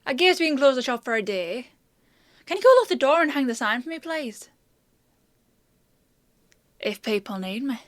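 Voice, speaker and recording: Woman playing a female fantasy shopkeeper, high voice, close dry sound, sardonic, bossy, playfully scolding, audio roleplay. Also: very animated, normal speed, pauses often, no room sound. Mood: brisk, bossy.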